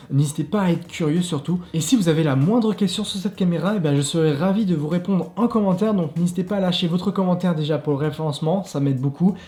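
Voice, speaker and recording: French dude reviewing gadgets, bit high voice, mellow tone, lots of laughing. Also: male voice, echoey room. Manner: chatty, upbeat, laughs at himself. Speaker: tech reviewer, channel host. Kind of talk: casual product review vlog. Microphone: clip-on mic.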